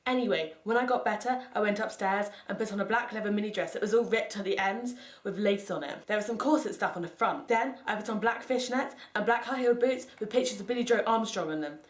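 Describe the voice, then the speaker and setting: A woman's voice: medium pitch, fast talker, few pauses. A woman narrating fan fiction in the first person in a theatrical, mock-serious way. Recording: echoey room.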